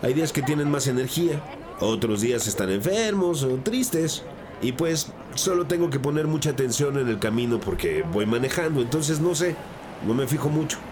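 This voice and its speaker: An actor playing a plain-spoken, cooperative school bus driver answering questions in an audio drama. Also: male voice, mid-range voice, animated, normal speed, close to an everyday voice. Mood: explaining, cooperative. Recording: noisy, vehicle ambience behind.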